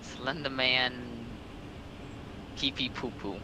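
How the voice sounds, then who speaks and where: A man's voice: mid-range voice, takes it slowly, background noise. A man joking around in casual chat as a guest on a livestream. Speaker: stream co-host.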